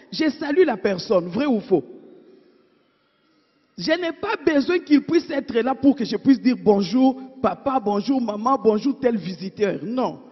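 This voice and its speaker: A woman preacher delivering a sermon, asking her congregation rhetorical questions and swinging between emphatic and hushed delivery. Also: on the high side, husky. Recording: dull sound in a reverberant church hall.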